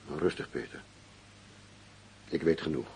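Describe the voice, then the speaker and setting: An actor playing a grave, determined police commissioner in a radio play, speaking in a serious, deliberate, formal way. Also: male voice, a bass voice, darkish tone, slight background noise, rough recording. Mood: concerned.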